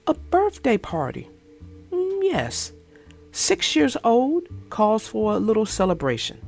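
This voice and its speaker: A woman voicing a cautious, sensible mother bear in a soft, measured way. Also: fairly high pitch, wildly swooping pitch, gravelly, faint music behind, full character voice. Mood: thoughtful.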